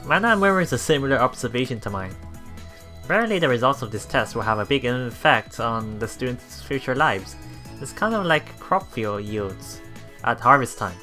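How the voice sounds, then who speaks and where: A bright-voiced man with very varied intonation reads a visual novel aloud over faint music. He streams as he reads, stumbling and correcting himself as he goes. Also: medium pitch, expressive. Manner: halting reading with repeats and self-corrections. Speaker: let's play streamer. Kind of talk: reading a visual novel aloud with light commentary. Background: soft game music.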